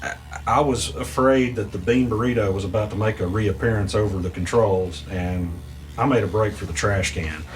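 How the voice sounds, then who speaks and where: A weary, anxious storyteller, played by a man narrates a mystery audio drama in the first person. The voice sits low, with measured, halting delivery and deliberate pauses, over faint music. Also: lightly acted. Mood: wry, suspenseful recounting. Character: weary, anxious male narrator recounting an eerie arcade ordeal.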